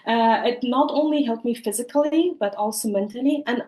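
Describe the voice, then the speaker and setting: Young woman, from Afghanistan, interview guest, earnest, many fillers, fairly high voice, echoey room. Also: fairly quick, darkish tone. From Afghanistan, grew up in Pakistan. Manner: earnest, thoughtful, with frequent fillers and restarts. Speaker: podcast guest, Olympic judoka. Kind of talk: interview answers.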